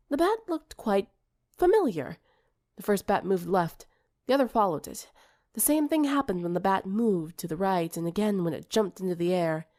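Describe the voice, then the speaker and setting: Woman narrating a story, medium pitch. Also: a little mellow. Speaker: audiobook reader.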